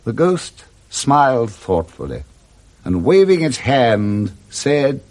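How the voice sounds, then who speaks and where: A man narrating a literary tale for 1960s radio drama in a theatrical, expressive storytelling manner. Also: medium pitch, slow pace.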